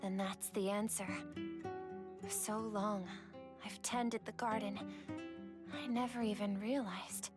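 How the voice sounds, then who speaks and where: A woman playing a gentle, lonely, devoted garden keeper in a video game, hushed and halting. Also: mid-range voice, expressive. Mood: wistful, resigned. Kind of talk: dramatic video game voice acting. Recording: prominent music over the dialogue.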